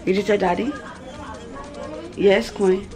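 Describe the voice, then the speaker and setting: A woman's voice: mid-range voice, fairly even intonation, takes it slowly, music in the background. Woman vlogging casually in her kitchen. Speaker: family vlogger and mother. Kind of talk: casual chat to camera. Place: small home kitchen, indoors. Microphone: camera held at arm's length.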